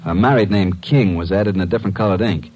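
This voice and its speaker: Low-voiced wisecracking detective sidekick, old radio drama, brisk n slangy. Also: male voice, darkish tone. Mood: reporting. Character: breezy, wisecracking tough young male detective's assistant.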